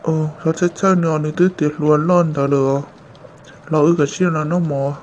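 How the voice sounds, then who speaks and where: A man voicing an adult man in a folk-tale cartoon, with drawn-out calls. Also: fairly high pitch, steady tone, lo-fi sound, a little hiss or hum, close to an everyday voice. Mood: calling. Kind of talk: cartoon voice acting.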